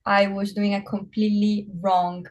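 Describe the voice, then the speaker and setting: A woman chatting casually as a guest athlete, telling stories in an animated way and acting out what people said. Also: medium pitch, moderate pace. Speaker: bodybuilding competitor, guest. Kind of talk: casual chat.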